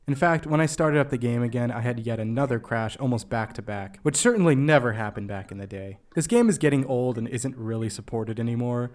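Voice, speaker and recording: A man's voice: mid-range voice, a little mellow. A man reading a scripted video essay about games, telling the story with dry, self-deprecating asides. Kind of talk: scripted narration of a game review. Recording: music behind the voice. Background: background music.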